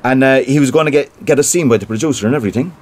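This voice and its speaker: A small-time Irish theatre man , acted by a man in a naturalistic audio drama. He has a medium-pitched voice and a Cork accent. His talk is colloquial and gossipy, full of self-importance and a chancer's boasting. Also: racing, darkish tone, close to an everyday voice. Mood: gossipy, boastful.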